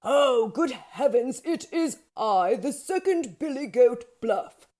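A woman voicing a big, bluffing male billy goat in a fairy tale, pompous and booming with a hoarse edge. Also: lively intonation, slow pace, a little mellow, exaggerated voice. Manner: pompous, theatrical.

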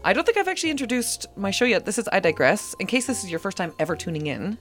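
A woman's voice: mid-range voice, sing-song, quick pace. A woman hosts a live community radio show from a small studio, talking into a studio mic. Her delivery is chatty and playful, wandering from one tangent to the next. Faint music sits underneath her voice. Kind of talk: casual live radio chat.

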